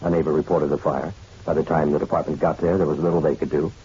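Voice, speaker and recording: A man's voice: extremely low pitch, level tone, fast, warm tone, slightly rough, exaggerated voice. A man playing a dry, wry private detective in a hardboiled radio drama, speaking in clipped, matter-of-fact lines. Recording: dull radio recording with music behind.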